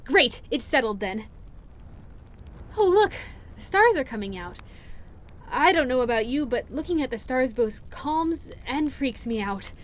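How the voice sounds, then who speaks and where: A woman's voice: high voice, expressive, a little slow, pauses often. A woman playing a shy, anxious, affectionate young friend in an audio roleplay, speaking in a hesitant, rambling, earnest way. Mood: bubbly, chatty. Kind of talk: interactive audio roleplay acting.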